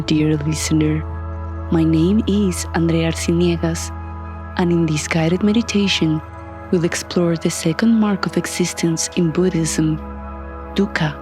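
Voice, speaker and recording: A woman leading a guided meditation as a scripted narration, soft and breathy, calm and soothing, with deliberate long pauses. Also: on the low side.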